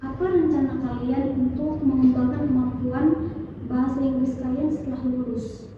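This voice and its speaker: A woman's voice: high voice, fairly even intonation, moderate pace. A woman interviewer asking formal, plainly worded questions. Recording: distant echoey dull recording with noise.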